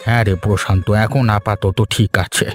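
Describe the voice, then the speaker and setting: A man voicing a poor, weary old village grandfather in a cartoon, slow and grumbling. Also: lowish voice, steady tone, faint music behind, natural voice. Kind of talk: Bengali moral-story cartoon dubbing.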